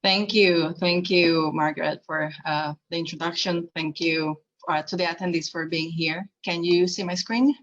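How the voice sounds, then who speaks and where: Low-voiced woman from Puerto Rico, lo-fi. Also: steady tone, moderate pace, few pauses, slight background noise. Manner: earnest, personal storytelling with fillers. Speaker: keynote speaker. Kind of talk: conference keynote talk. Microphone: video call.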